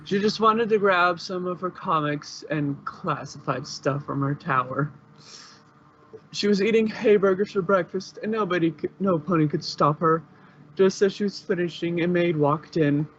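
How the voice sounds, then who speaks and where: High-pitched man narrating, slightly lo-fi. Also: moderate pace, a bit bright, slight background noise. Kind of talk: informal fanfiction narration.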